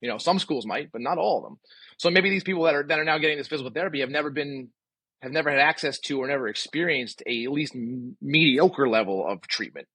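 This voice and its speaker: A man's voice: mid-range voice, quick pace, a bit bright, husky, no room sound. A man in his forties talking casually and emphatically as a podcast guest, voicing strong opinions and often asking rhetorical questions. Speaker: podcast guest, physical therapist.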